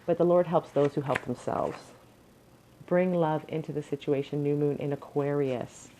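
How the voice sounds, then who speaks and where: A woman's voice: deep voice, moderate pace. A woman with a crackly voice giving an earnest pick-a-card tarot reading at home, advising with frequent ums and restarts. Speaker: tarot reader.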